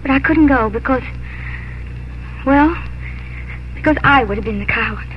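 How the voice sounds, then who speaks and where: An actress playing a remorseful young woman in love, with emotional, halting delivery in 1950s radio melodrama. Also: high voice, a little hiss or hum, close to an everyday voice. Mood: emotional, loving. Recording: dull 1950s radio broadcast, music behind.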